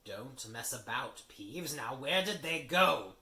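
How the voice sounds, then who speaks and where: A man doing a gruff impatient old caretaker. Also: medium pitch, animated, slow pace, pushed and bright, voice pushed up, heavily acted. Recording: roomy with faint hiss.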